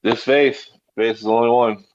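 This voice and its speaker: A man's voice: medium pitch, steady tone, moderate pace. A man speaking as a guest on a group call, subdued and hesitant, repeating short phrases in casual, personal talk. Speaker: guest on an online community call. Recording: dull, lo-fi call audio.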